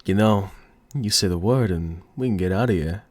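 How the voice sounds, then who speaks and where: A man's voice: sits low, moderate pace, no echo, close to an everyday voice. A man playing a cocky, flirty, menacing mafia boss in an audio roleplay, with a put-on mobster accent and a smug, teasing drawl. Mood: cocky flirting.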